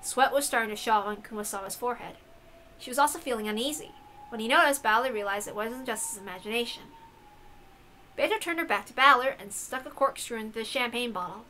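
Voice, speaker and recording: A woman narrates a visual novel in a high, bright voice. Her delivery is scripted and expressive, like a story read aloud. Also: fast, slight background noise, poor audio.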